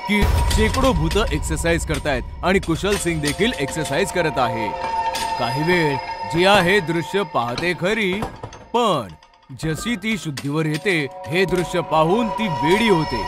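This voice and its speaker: High-voiced male horror narrator over loud music. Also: animated, measured pace. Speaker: story narrator. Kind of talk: dramatic scripted horror narration.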